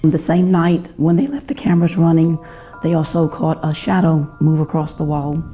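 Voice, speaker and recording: A woman's voice: low-pitched, normal speed, mellow, faint music behind. A woman paranormal investigator recounting a personal experience.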